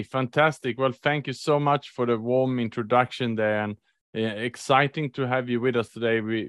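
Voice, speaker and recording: A man's voice: mid-range voice, dry recording, studio quality. A man hosting a business podcast, asking a guest polite, welcoming questions. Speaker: podcast host. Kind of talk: podcast interviewing.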